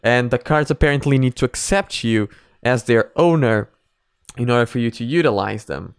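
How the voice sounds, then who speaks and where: A man, a Portuguese speaker, hosts in a casual, rambling way. His voice is smooth and mid-pitched, recorded dry. He searches for words and leans into sarcasm. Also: moderate pace, dry recording. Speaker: reaction host. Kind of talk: casual reaction commentary.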